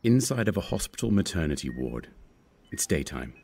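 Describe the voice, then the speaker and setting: A man's voice: low voice, very animated, normal speed, vocal fry, darkish tone, husky. A man narrating a screenplay, reading out scripted scene descriptions.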